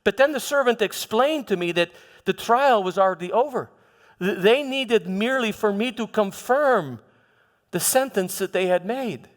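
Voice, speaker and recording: A man's voice: sits high, a bit bright. A man performing a troubled Roman governor's first-person monologue, telling the story with emphatic repetition in a large hall. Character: troubled, self-justifying Roman governor Pontius Pilate recounting events. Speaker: church dramatization performer. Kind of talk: dramatic first-person monologue before a congregation. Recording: long hall reverb.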